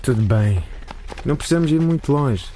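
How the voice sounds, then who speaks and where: Radio drama sweetheart: male actor as insistent, smooth-talking schoolboy, mid-pitched, relaxed timbre, faint outdoor ambience. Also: a little fast, a little hiss or hum, natural voice. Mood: coaxing. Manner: coaxing, insistent.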